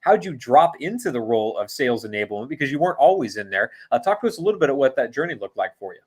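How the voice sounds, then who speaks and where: A man hosts an interview podcast with a middle-pitched voice. He introduces his guest and asks about her career. He drops in frequent "mm"s of agreement while she talks. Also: very animated, rapid-fire. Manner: affirming, with frequent backchannels. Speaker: podcast host. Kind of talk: interview hosting.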